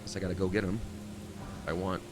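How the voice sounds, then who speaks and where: A man chatting casually and at length while streaming a video game, rambling along and jumping up in excitement. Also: low-pitched, fast, noisy background. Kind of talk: live gameplay commentary. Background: game music.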